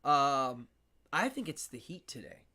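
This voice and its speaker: A man's voice: medium pitch, measured pace. A man with a nasal voice hosting a casual live-stream interview, telling stories with lots of laughter. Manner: animated storyteller, laughs often. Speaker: host. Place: New York City.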